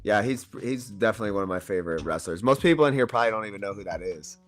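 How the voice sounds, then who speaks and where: A man's voice: fairly low pitch, expressive, rapid-fire. A man in his late twenties, a wrestler chatting casually on a livestream in a laid-back, slangy way. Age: 20s. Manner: laid-back, slangy, says "bro" a lot. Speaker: wrestler guest.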